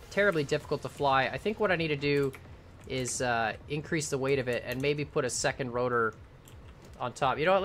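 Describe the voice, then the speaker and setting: A young man in his twenties chatting casually as he builds during a live game stream, rambling and thinking aloud, his voice starting to go hoarse. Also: fairly high pitch, a bit bright. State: voice going hoarse. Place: home room at a computer.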